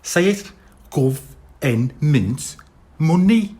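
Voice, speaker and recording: A man's voice: a little high, animated, extremely slow, mellow, faint music behind, voice pushed up. A man voicing a streetwise male talking cat with a wheedling, persuasive patter in an audiobook. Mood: scheming.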